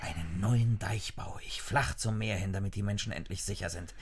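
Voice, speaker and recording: An actor in a German radio play as a stern, driven dike master and duty-bound husband, with a gravelly, hushed voice. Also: male voice, fairly low pitch, normal speed, background noise, lightly acted. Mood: brooding, resolute.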